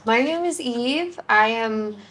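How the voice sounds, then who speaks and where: A woman's voice: fairly high pitch, expressive, slow, fairly bright tone. A young woman from Michigan with an American accent, chatting casually as a visitor. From Michigan, United States. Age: young adult. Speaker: recent graduate, visitor. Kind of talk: casual chat.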